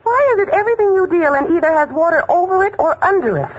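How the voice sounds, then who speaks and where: A level-headed young woman narrates a 1940s radio comedy with wry, dry humor. Her voice is high and lively. The broadcast sounds muffled under loud hiss. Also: quick pace. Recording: scratchy old radio broadcast with heavy hiss.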